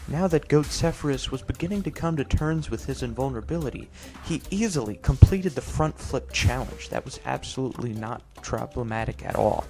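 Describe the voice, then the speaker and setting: A man improvising a mock-storybook narration over gameplay in a mock-serious, deadpan way. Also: fairly high pitch. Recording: music behind the voice. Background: clear background music.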